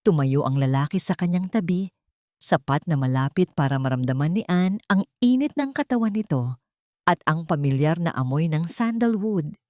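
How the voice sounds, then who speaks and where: A woman narrates a dramatic scripted story in a low-pitched voice. The recording is very dry and close, with no room around the words. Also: lively intonation, normal speed, darkish tone, no room sound.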